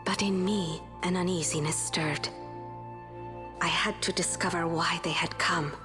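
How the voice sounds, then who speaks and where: A woman's voice: lowish voice, fairly even intonation, measured pace, lightly acted. Woman as an earnest young forest-dweller over music. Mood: troubled. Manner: formal, slightly archaic phrasing. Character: earnest, restless young woman of a woodland race. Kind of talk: dramatic fantasy game acting. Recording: loud orchestral music behind.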